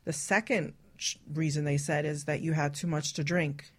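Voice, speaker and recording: A woman's voice: low voice, steady tone, normal speed. A woman co-hosting a radio talk show, speaking frankly and casually in a group chat. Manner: frank, explicit.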